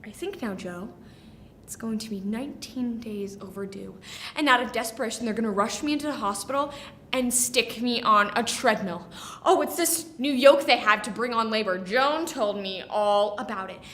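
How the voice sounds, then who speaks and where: A dreamy young mother-to-be, played by a woman, chatters in a high, bright voice. She rambles with naive earnestness, in the manner of a stage play. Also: normal speed, slight background noise, close to an everyday voice. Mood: anxious chatter. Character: chattering, dreamy, pregnant young Irish bride-to-be. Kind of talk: theatrical stage monologue.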